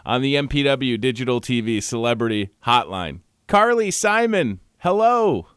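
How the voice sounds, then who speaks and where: A man's voice: medium pitch, sing-song, moderate pace, fairly bright tone, clear, resonant tone, dry recording, clean studio sound. Eager, nervous man hosting a radio show. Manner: eager, a bit nervous, fan-like. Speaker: radio host. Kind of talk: radio interview.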